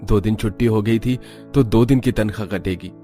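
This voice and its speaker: A man's voice: on the low side, moderate pace, dark tone, faint music behind, lightly acted. A man playing a worried, thrifty father short of money in a cartoon story, discouraging and resigned.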